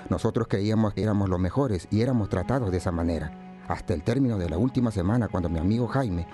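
An actor plays a reflective male storyteller with a fairly low voice. He recounts his hot-tempered past in measured radio drama narration, over faint music. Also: close to an everyday voice.

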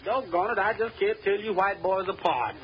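A man's voice: sits high, moderate pace, lightly acted. An actor playing a wisecracking young shoeshine boy in a 1930s radio comedy. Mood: cheeky. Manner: cheeky, wisecracking. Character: fast-talking young male shoeshine boy. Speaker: comic sidekick. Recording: muffled old radio broadcast with hiss.

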